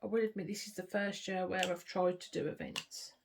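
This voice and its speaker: Crafting vlogger, woman, low voice with some fry, half-talks to herself while she works, faint background hiss. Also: fairly even intonation, fairly quick. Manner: murmuring, trailing off while working. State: sniffly. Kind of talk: casual chat while crafting.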